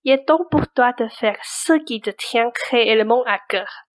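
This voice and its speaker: A woman playing a blunt but caring confidante in a French radio play, speaking in a brisk, insistent, colloquial way. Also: fairly high pitch, natural voice. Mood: earnest, persuasive. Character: blunt, pushy but caring female confidante urging Cinderella onward.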